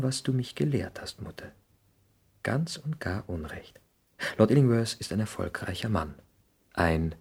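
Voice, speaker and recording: An actor playing an ambitious, hot-headed young son, idealistic and argumentative, in a 1960s German radio drama. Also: male voice, lowish voice, creaky, darkish tone, husky, heavily acted. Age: young adult. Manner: impassioned, argumentative.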